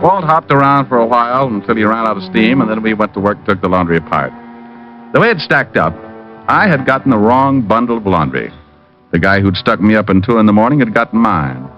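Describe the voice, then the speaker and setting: A man playing a quick-witted, wry private detective in an old-time radio drama, moving between dialogue and hardboiled narration. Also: on the low side, lively intonation, close to an everyday voice. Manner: wry, sardonic. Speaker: narrator and lead actor. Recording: dull vintage radio recording with music.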